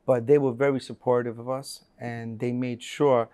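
A man's voice: mid-range voice, level tone, moderate pace, mellow, smooth, dry recording. A man in his forties from Colombia, telling his life story in an earnest interview with many fillers and restarts, on a lapel mic. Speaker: interview guest. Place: bright room with large windows.

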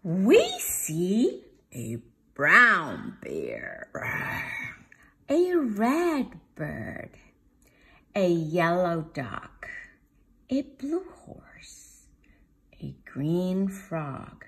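Woman reading slowly with very lively pitch. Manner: sing-song, slow, drawn-out phrasing for small children. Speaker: preschool teacher reading aloud to class. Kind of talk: children's storybook read-aloud. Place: home dining room, indoors.

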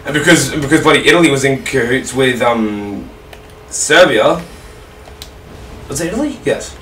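A man's voice: mid-range voice, expressive, normal speed, sharp tone, slight background noise. Rambling Australian man, roomy distant mic. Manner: rambling, sweary, repeats himself. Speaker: storyteller on a gaming video. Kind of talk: casual history storytelling over gameplay.

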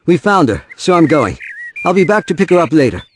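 A man's voice: on the high side, big pitch swings, a little fast, a little hiss or hum. A man playing a harried, defensive divorced father in an audio drama, weary and impatient. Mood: exasperated. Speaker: voice actor.

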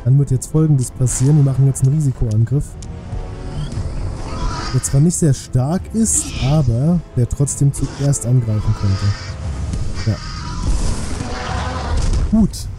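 A man's voice: medium pitch, animated, unhurried, long pauses. A man casually commentating a game live for his viewers, thinking aloud in chatty asides. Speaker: streamer. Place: indoor room, streaming setup. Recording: music playing faintly behind. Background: game music.

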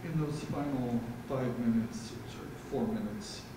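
A man asking a player questions at a press conference, halting and restarting. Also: medium pitch, noisy background. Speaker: reporter. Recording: echoey room from far off.

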